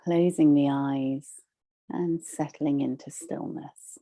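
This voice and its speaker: Woman doing guided meditation, really soft mellow tone, low pitched, soothing n unhurried w long pauses. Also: fairly even intonation. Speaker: meditation teacher.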